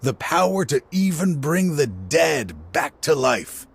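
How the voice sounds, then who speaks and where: An actor playing a weary, regretful older man in a video game, a grieving father who sighs and talks slowly. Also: on the high side, big pitch swings, gravelly, slight background noise, heavily acted. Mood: pleading, eager. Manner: weary, slow, sighing. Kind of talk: game cutscene voice acting.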